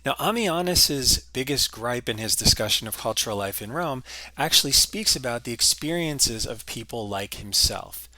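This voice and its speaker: Bright-voiced male history lecturer. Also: medium pitch, expressive, normal speed, no echo. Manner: explanatory, with fillers and restarts.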